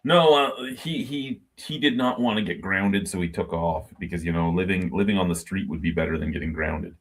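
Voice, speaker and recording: Rambling forties man, low voice. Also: moderate pace. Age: 40s. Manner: rambling anecdotal storytelling with swearing. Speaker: podcast co-host. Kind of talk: casual chat. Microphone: video call.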